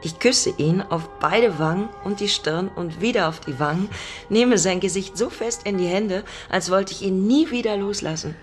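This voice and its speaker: A woman's voice: medium pitch, normal speed, soft background music, lightly acted. An actress playing a lonely middle-aged woman in an intimate radio drama, playful yet haunted by her vanished father, with a reflective, teasing way of talking. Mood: dreamy, wistful.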